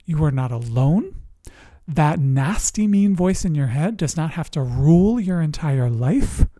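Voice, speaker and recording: A resilience coach telling personal stories in a motivational talk, speaking earnestly and deliberately in short phrases with pauses. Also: mid-range voice, lively intonation, mellow, no echo. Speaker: host, emotional resilience coach.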